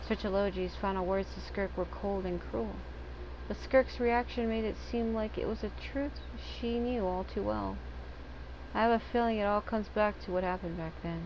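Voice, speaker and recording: A woman gives commentary while playing a story game. Her voice sits at a middle pitch, with clear background noise on the recording. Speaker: gamer playing through a story quest. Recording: very noisy background.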